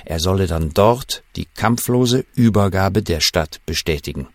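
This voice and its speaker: An actor playing a shaken German official who recalls a wartime night in measured, suspenseful first-person audio drama narration. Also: male voice, sits low, expressive, no room sound. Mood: urgent, strained.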